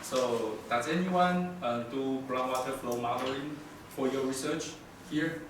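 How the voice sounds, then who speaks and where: A man's voice: medium pitch, measured pace. A man from Taiwan giving an academic lecture, hesitant and polite, with many fillers. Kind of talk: academic presentation. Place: lecture room. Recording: echoey room from far off, noisy.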